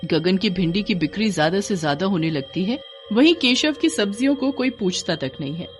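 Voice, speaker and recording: A woman's voice: mid-range voice, expressive, a little fast, faint music behind. A woman storyteller reading scripted narration for a cartoon tale.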